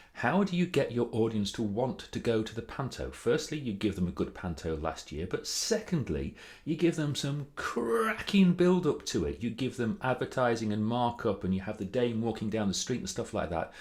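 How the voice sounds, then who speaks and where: A man's voice: mid-range voice, darkish tone. A British man giving informal advice as a presentation coach, chatty and theatrical with emphatic delivery. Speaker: presentation coach hosting a video series. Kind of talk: informal to-camera advice talk.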